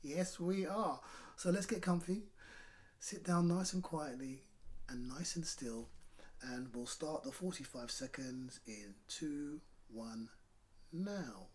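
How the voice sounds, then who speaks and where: A man presenting a children's storytime, talking directly and encouragingly to young listeners and narrating in a lively way. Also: on the high side, takes it slowly.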